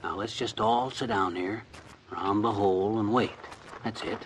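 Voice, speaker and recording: An actor playing a blustery, excitable, grumbling middle-aged husband with a folksy, exclamatory delivery in an old-time radio comedy. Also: male voice, on the low side, normal speed, natural voice. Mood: earnest. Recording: noisy vintage radio recording.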